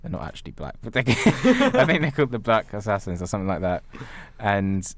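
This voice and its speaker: A man's voice: lowish voice, huge pitch swings, brisk, no echo. A man co-hosting a podcast, talking casually and conversationally about films. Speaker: podcast co-host. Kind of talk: casual film discussion.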